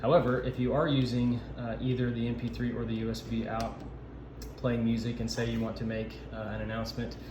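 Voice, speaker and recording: Man, even monotone delivery, halting informal demo, roomy sound, improvised explaining. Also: mid-range voice. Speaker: sound system installer giving a walkthrough. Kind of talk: informal instructional demo narration. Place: indoor camp office. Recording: echoey room, noisy ambient background.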